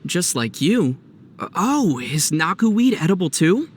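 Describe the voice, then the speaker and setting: Young traveler hero for a game: calm but melodic, lightly teasing, noisy ambience behind. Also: male voice, high voice, unhurried. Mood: wry. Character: youthful male traveler, gentle, teasing, level-headed hero. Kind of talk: video game character acting.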